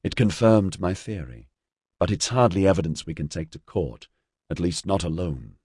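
A man's voice: low voice, expressive, normal speed, darkish tone, husky, no room sound, clean studio sound. A man voicing a methodical, businesslike female investigator in an audiobook.

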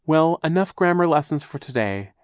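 A fretful, prim older woman with a high, scolding voice, put on by a male audiobook narrator. She fusses over grammar and worries aloud about everything. The reading is close and dry. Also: animated, moderate pace, dry recording. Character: fussy fearful older woman guardian, pedantic about grammar. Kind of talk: audiobook character voice.

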